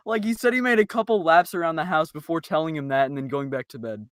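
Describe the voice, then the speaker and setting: A man's voice: a little high, fast, fairly bright tone, dry recording, studio quality. A man chatting casually on a podcast, rambling and repeating himself as he tells anecdotes. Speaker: podcast co-host. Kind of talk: casual chat.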